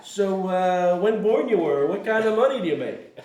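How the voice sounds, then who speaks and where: Man acting a high-pitched needling fishing buddy. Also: lively intonation, normal speed, a little mellow. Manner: needling, mock-impressed. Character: nosy needling fishing buddy, mocking jokester. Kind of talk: screenplay table read. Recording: echoey room.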